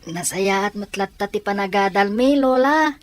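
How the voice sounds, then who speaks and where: A woman's voice: medium pitch, lively intonation, moderate pace, natural voice. A woman playing a polite, earnest schoolchild in an Ilocano audio drama, speaking respectfully. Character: polite, grateful schoolchild living with widowed father.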